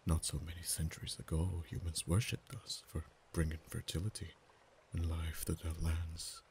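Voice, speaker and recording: A man voicing a deep, ancient dragon. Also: level tone, creaky, a little hiss or hum. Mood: musing. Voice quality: very deep, soft-spoken. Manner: slow, measured, drops to whispers. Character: ancient male dragon, proud and possessive. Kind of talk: ASMR roleplay voice acting.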